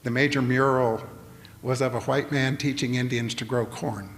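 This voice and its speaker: A man's voice: mid-range voice, steady tone. Older guy giving a talk, lots of uh and um, echoey hall. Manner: halting, many fillers and restarts. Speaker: lecturer. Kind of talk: public lecture. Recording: long hall reverb, faint noise.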